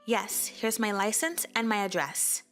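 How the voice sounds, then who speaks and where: A woman's voice: medium pitch, lively intonation, unhurried, fairly bright tone, faint music behind. A woman playing a wronged homeowner in a manga dub, firm and pointed in her manner. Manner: assertive, pointed.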